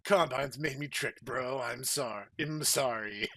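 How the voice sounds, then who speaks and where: A man voicing a wounded, dying hero, remorseful and noble, slow and weak in a comic dramatic reading. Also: medium pitch, sharp tone, no echo, pitched below the performer's own voice. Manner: slow, weakened delivery.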